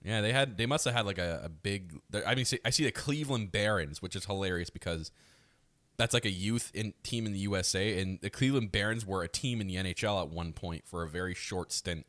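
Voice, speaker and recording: A man's voice: low-pitched, brisk, a bit bright, no room sound. A man chatting casually as a co-host and analyst on a hockey podcast. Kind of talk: casual sports podcast chat.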